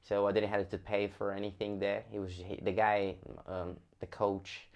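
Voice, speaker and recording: A young Brazilian man with a Brazilian Portuguese accent, a fighter telling his story in a casual interview, speaking haltingly with many restarts. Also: on the low side, completely flat delivery, darkish tone, muffled recording.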